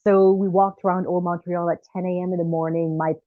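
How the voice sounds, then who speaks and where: Brief: earnest, soft-pitched woman guest. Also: flat delivery, fairly quick, dry recording, narrow, tinny audio. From Jamaica. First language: not French. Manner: earnest, laughs between phrases. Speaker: guest filmmaker. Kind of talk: interview storytelling.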